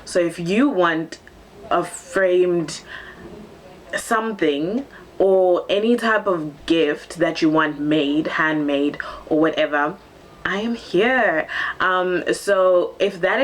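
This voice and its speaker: A woman's voice: fairly low pitch, slow pace. A seventeen-year-old girl, originally from Zambia and raised in Cape Town, chatting casually in a get-to-know-me vlog, bubbly and full of ums. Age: teens. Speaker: vlogger.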